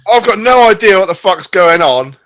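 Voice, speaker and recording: Bright-voiced, blunt co-host man. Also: high-pitched, expressive, moderate pace. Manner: blunt, swears a lot. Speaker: podcast co-host. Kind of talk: casual group chat.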